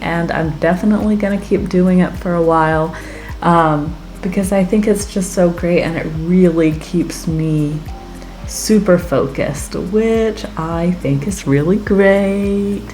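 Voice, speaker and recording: Slightly raspy, chatty woman, unhurried. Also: medium pitch, darkish tone, low-quality recording. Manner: chatty, enthusiastic. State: getting over a weekend sickness. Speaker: craft channel host. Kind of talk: casual tutorial narration over process video.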